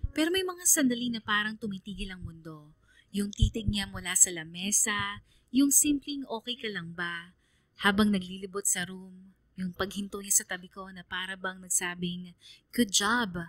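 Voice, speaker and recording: A woman's voice: medium pitch, fairly bright tone, dry recording, natural voice. Wistful woman as student narrator over music. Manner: wistful, measured. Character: reflective, quiet female student narrating her memories. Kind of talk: scripted dramatic narration.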